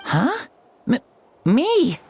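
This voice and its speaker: A woman voicing a frail, earnest young boy who speaks haltingly and with wide-eyed wonder in an anime-style game. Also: sits high, huge pitch swings, a little mellow, slight background noise, heavily acted. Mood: confused.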